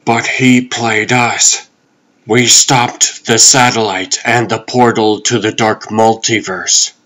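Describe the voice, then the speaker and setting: A man voicing a noble, earnest caped superhero in a comic dub, solemn and measured. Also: on the low side, level tone, a bit bright.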